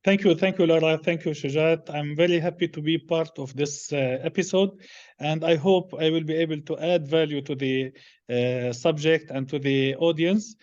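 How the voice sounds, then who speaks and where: Male interview guest, middle-aged, Lebanese accent, halting delivery, dull audio. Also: a little high, steady tone, a little mellow. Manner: halting, measured phrasing with many hesitations. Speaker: interview guest, business executive. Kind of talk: unscripted interview answers.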